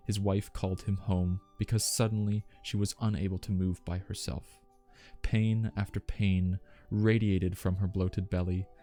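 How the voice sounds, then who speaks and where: Genteel butler, male narrator in role, low-voiced and soft-toned, formal, gentle ASMR storytelling over music. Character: genteel, devoted male butler reminiscing about household staff.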